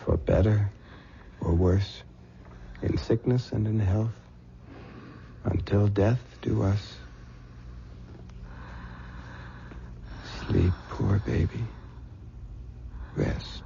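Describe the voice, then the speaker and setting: A man's voice: lowish voice, fairly even intonation, takes it slowly, vocal fry, pauses often, dark tone. A man playing a worried, devoted young husband in an old-time radio drama, earnest and emotive. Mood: soothing, tender. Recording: dull old radio recording with hiss.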